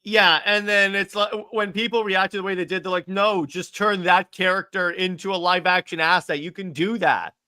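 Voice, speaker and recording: A man's voice: high-pitched, fairly even intonation, moderate pace, few pauses, pushed and bright, clean tone. A man co-hosting a casual chat show, discussing films and viewer comments. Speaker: co-host reading viewer comments.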